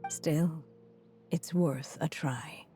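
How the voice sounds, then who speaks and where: A gentle old woman writer from a fantasy game. Her voice is fairly low, soft and breathy, and she speaks slowly and reflectively with quiet resolve, over music. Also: steady tone. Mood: weary, reflective. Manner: slow, reflective, measured. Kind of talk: dramatic scripted game dialogue.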